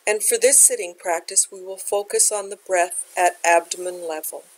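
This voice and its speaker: A woman leading a guided meditation as a teacher, speaking in a gentle, unhurried, measured way. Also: lowish voice, fairly even intonation, rough recording.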